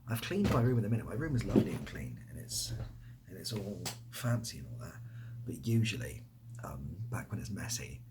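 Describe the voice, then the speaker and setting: Young english guy doing softly spoken asmr chat, lowish voice, kinda rambly, clear background noise. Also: sing-song, brisk. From near Bath, England. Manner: soft-spoken, drifting into near-whisper, hesitant and rambling. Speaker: ASMR video creator.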